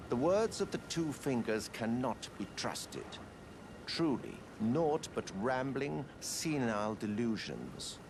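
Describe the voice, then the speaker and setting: A man's voice: fairly low pitch, background noise, full character voice. A man playing a sly, condescending cultist mentor in a fantasy video game, theatrical and insinuating.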